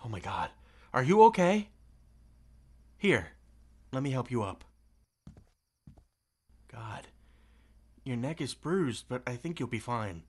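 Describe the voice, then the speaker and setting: A man's voice: medium pitch, sing-song, takes it slowly, long pauses, husky, exaggerated voice. An actor playing a kind, concerned young male neighbour in an audio roleplay, speaking in a hesitant, informal way. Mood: relieved concern. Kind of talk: naturalistic audio roleplay acting. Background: music.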